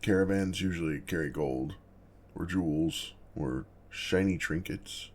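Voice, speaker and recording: A man playing an awkward, apologetic, gentle male dragon in an ASMR roleplay, with a gravelly, husky voice and a halting, flustered manner. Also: low-pitched. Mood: sheepish, apologetic. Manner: halting, flustered, self-correcting, measured.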